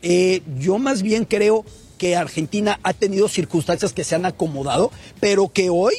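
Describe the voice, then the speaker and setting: A man's voice: sits high, moderate pace, a little mellow, husky. A man with a Mexican accent giving casual opinions on football as a panelist. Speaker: sports show panelist.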